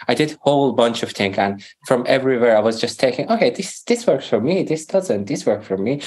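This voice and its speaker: A man with a Persian accent, a native Farsi speaker, talking as a podcast guest in earnest, halting English. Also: on the low side, darkish tone. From Iran. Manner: earnest, halting, searching for words. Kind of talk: casual interview talk.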